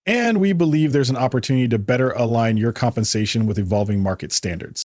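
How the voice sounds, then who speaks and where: A man voicing a smooth, rehearsed, falsely cheerful male corporate manager in a narrated story. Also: medium pitch, expressive, fast talker, darkish tone, slight background noise, natural voice. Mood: smooth, formal. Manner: smooth, practised corporate delivery.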